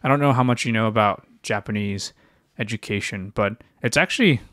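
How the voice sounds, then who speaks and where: A young man co-hosting a casual podcast, speaking in a somewhat low voice. He hesitates often, stacking fillers and restarting his sentences. The sound is close and dry, with no room echo. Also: fairly quick, a bit bright. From China. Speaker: podcast co-host, physician.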